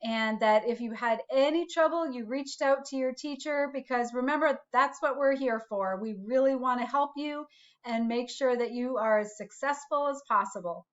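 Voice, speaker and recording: A woman's voice: high voice, moderate pace, muffled recording. A woman, a school principal, speaking simply to young children as she presents a picture-book read-aloud. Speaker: school principal reading to pupils.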